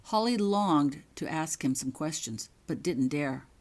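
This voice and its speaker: A woman reading her own fiction as a scripted, dramatic story narration, in measured, suspenseful short phrases. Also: sits low, animated, a little mellow.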